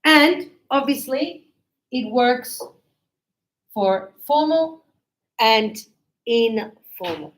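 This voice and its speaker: A woman teaching an English lesson, explaining slowly and clearly and acting out sample dialogues. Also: on the high side, expressive.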